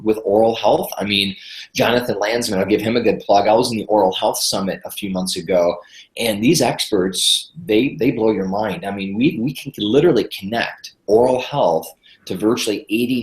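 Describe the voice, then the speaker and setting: A man's voice: on the low side, roomy sound, low-quality recording. A congested man from Michigan, a guest health expert chatting enthusiastically in a podcast interview. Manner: chatty, enthusiastic, repeats words when starting sentences.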